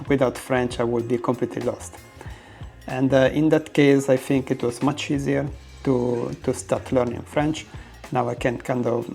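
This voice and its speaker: A man with an Italian accent, a native Italian speaker, talking casually to camera about learning languages, with frequent "uh" pauses and non-native phrasing. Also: medium pitch, gravelly, darkish tone.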